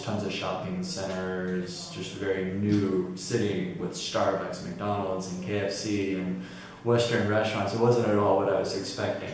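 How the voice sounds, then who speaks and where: A man who is an expat, speaking articulately and reflectively in an interview. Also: sits low. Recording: very echoey, distant, faint noise.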